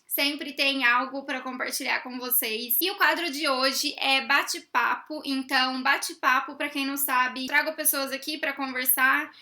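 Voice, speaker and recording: Young woman, high bright voice, chatty host. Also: fairly even intonation, normal speed, hardly any pauses. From Brazil. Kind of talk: casual chat.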